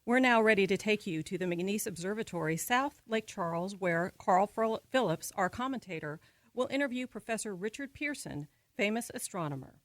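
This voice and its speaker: A woman's voice: mid-range voice, animated, normal speed, no echo, lightly acted. A woman playing a formal, composed studio announcer reading scripted bulletins in a 1930s style radio drama.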